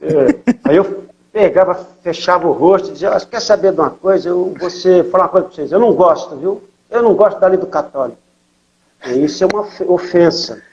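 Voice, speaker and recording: A man's voice: sits high, fairly quick, a little mellow, muffled recording. Older São Paulo man telling missionary stories. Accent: São Paulo Portuguese. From São Paulo, Brazil. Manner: storytelling, many fillers and tag questions. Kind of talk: conversational testimony interview.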